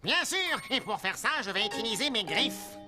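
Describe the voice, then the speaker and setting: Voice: mid-range voice, expressive, normal speed, lightly acted. An actor voicing an eager, clumsy, apologetic talking hammer in a children's cartoon, chatty and exclamatory. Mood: eager, proud. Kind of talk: animated children's cartoon acting. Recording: music behind the voice.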